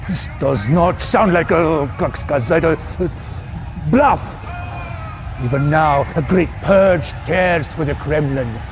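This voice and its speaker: An actor playing a defecting male Soviet official, halting and emotional in a game cutscene. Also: fairly high pitch, animated, music in the background. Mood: grave. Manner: halting, emotional, dramatic outbursts.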